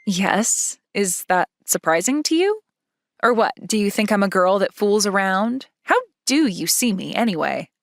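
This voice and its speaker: A woman's voice: medium pitch, lively intonation, unhurried, no echo. A young woman playing a reserved yet playful schoolgirl in a manga dub, coy and matter-of-fact with a touch of indignation. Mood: coy, challenging. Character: reserved yet playful, easily flustered schoolgirl love interest.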